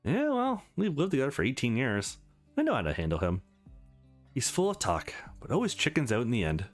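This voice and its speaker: A man's voice: mid-range voice, wildly swooping pitch, brisk, soft background music. Man doing blunt, sassy young game heroine. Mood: casual, frank. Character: defiant, blunt eighteen-year-old young woman. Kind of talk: visual novel read-aloud.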